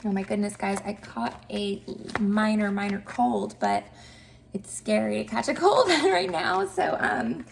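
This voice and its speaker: Chatty woman with a stuffy, gravelly voice. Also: medium pitch, sing-song, unhurried, noisy background. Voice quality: cracky, nasal. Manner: chatty, upbeat, many fillers. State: mild cold, nasal and stuffed up. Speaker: tarot reader. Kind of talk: casual tarot reading to camera.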